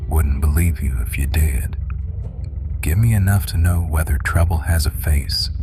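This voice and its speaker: Male performer as gruff werewolf ranch boss, very deep, unhurried, terse, commanding, protective, intimate ASMR murmur. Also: over music. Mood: guarded, probing. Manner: terse, commanding, murmuring low with long pauses. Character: brooding, protective alpha werewolf rancher boss, a grown man. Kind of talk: slow intimate ASMR romance roleplay.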